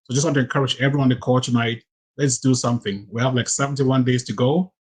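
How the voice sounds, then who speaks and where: A man's voice: medium pitch, fairly even intonation, quick pace, husky. A man from Cameroon giving an earnest, plain speech of encouragement on a video call. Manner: earnest, plain, restarts phrases.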